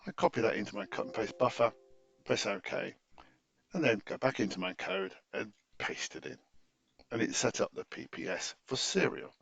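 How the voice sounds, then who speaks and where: Gravelly man with lively pitch, walking through software. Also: normal speed, dry recording. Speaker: software tutorial presenter. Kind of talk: unscripted screen-share tutorial walkthrough.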